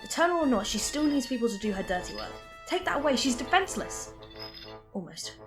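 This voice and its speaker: Lead for audio drama: witty, determined alien adventurer, rather high voice, flippant teasing, music behind. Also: female voice, big pitch swings, brisk. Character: quick-witted female time-travelling alien adventurer, flippant and determined.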